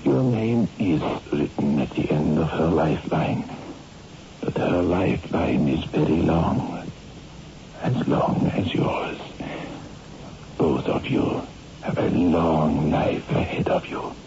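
A man acting a jealous, grave palm reader in a 1960s radio horror drama, speaking solemnly with dramatic pauses. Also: a bass voice, warm tone, a little hiss or hum, full character voice. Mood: grave reassurance. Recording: muffled old radio recording with music.

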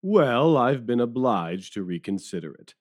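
A man's voice: mid-range voice, sing-song, measured pace, darkish tone. An actor playing a weary, deferential male police detective who talks in a rueful, wordy way in an audio drama. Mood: rueful, worried.

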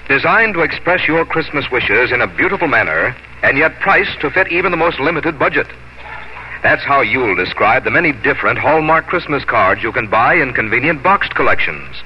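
Lively American radio announcer man. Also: mid-range voice, moderate pace. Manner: polished, emphatic salesmanship. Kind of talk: 1950s radio commercial, scripted. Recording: dull 1950s radio, faint noise.

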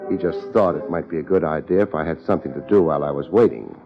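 An actor playing a tough, laid-back private investigator in a 1950s radio drama, terse and wisecracking, with dry narration. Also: male voice, rumbling low, fast, creaky, music in the background. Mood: dry, weary. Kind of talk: 1950s radio detective drama acting with first-person narration. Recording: muffled, noisy 1950s radio recording.

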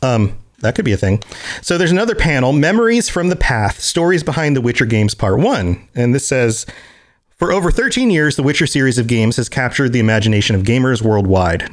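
An American man co-hosting a podcast, chatting casually and reading event listings aloud, with animated jumps to high excited exclamations. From United States. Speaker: podcast co-host.

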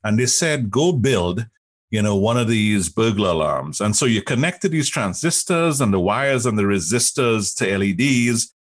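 Male interview guest, Trinidadian accent, lower register, rough husky tone, emphatic conversational explainer. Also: animated, moderate pace. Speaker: interview guest, technology executive.

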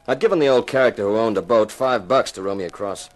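An actor playing a dry, wisecracking insurance investigator who narrates a 1950s radio detective drama. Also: male voice, fairly low pitch, fast talker, natural voice. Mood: moody narration. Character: wry, hard-boiled male insurance investigator and narrator. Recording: slightly noisy 1950s radio recording.

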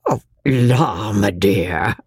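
A man voicing a languid, foppish English gentleman, weak but good-humoured, with a sleepy affected British drawl in a storybook. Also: on the low side, lively intonation, very slow, slightly rough, no room sound, studio quality, pitched below the performer's own voice, heavily acted. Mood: amused, languid. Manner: affected, amused, lazily understated.

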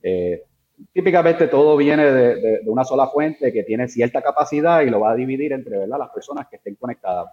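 A man's voice: mid-range voice, animated, brisk, lo-fi sound. A man with a Puerto Rican accent explaining technical things informally as an interview guest, hesitating and mixing in English tech terms. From Puerto Rico. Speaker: interview guest, engineer in networks and security.

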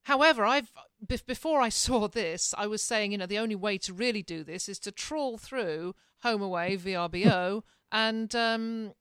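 English woman, smooth dry voice. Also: mid-range voice, normal speed, a bit bright, dry recording. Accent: English, softened by years in Canada. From England. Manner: chatty, laughs easily, hesitant with fillers. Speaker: podcast co-host. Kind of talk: casual chat.

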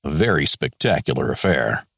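A man reads an older general jotting down his war diary, clipped and matter-of-fact. The voice sits very low. The recording is close and dry. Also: big pitch swings, moderate pace, creaky, a bit bright, slightly rough, no room sound, full character voice. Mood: terse. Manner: clipped diary-note delivery. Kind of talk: reading a wartime diary aloud.

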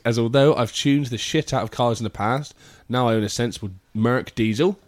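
A man hosting a podcast, reading out a listener's email and trading jokey, sweary banter with his co-hosts. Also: medium pitch. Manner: jokey, swears freely, laughs often. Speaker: podcast host.

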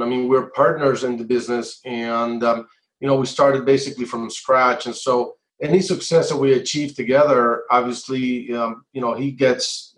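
A middle-aged man from Colombia giving measured, halting answers in an interview, pausing often as he explains. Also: medium pitch. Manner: halting, measured, frequent hesitations. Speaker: interview guest, entrepreneur. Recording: echoey, roomy sound.

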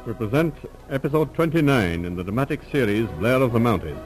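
A man's voice: medium pitch, expressive, brisk, over loud music. A man narrating as a formal announcer on a 1940s radio serial, in an old recording.

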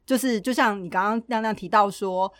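A woman's voice: medium pitch, fairly quick, fairly bright tone, dry recording, studio quality. A woman with a Taiwanese Mandarin accent co-hosting a casual podcast in a conversational, inquisitive way. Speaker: podcast co-host.

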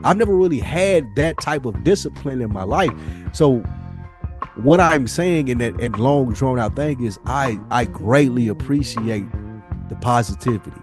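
A man's voice: mid-range voice, lively intonation, normal speed, music in the background. A man hosting a podcast, talking casually and reflectively in an earnest, slangy, rambling way. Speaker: podcast host.

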